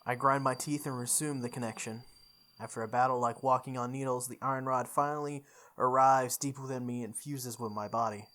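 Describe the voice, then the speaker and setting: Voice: mid-range voice, fairly even intonation, brisk, fairly bright tone. A video game playthrough host reading visual novel narration aloud in a dramatic manner. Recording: background music behind the voice. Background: game music.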